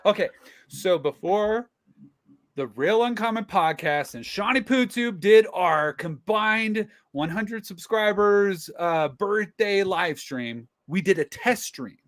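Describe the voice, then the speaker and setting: A man's voice: sits high, lively intonation, takes it slowly, a bit bright. A man co-hosting a casual livestream, playful and joking, telling a story. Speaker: livestream co-host.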